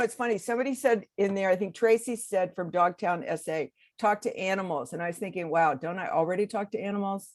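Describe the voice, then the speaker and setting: Woman, animated storyteller, dry close sound. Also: medium pitch, no echo. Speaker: co-host. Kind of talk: casual storytelling on a call. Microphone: video call.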